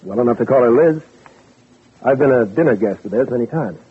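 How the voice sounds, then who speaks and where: Guarded, placating schemer in 1940s radio mystery; rough husky voice, narrow tinny recording. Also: male voice, medium pitch, lively intonation, a little fast. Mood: startled, guarded. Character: smooth-talking guilty man feigning loyal innocence. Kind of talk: 1940s radio drama acting.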